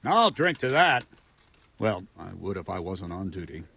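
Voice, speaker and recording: An actor playing a gruff but good-natured soldier in a medieval video game, husky and wryly plain-spoken. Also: male voice, sits low, sing-song, measured pace, very noisy, heavily acted.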